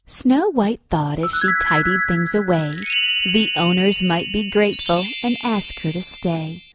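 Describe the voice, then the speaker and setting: A woman's voice: lowish voice, measured pace. A woman storyteller giving a scripted, rhyming fairy-tale narration for children. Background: loud music with chimes.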